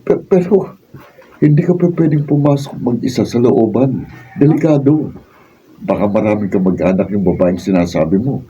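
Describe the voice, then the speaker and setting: Man playing a very dark, husky protector. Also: lowish voice, normal speed. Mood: concerned, warning. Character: protective working man, gruffly warning a woman friend. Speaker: radio drama actor. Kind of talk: Filipino radio drama acting. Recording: distant, muffled raw studio video.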